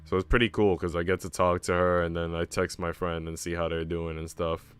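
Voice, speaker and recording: Deep-voiced young guy, chatting casually. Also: little pitch movement, fairly quick, smooth, no echo. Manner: slangy, rambling, sprinkled with "like" and "bro". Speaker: streamer reading a visual novel, a teacher. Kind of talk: casual live-stream chat.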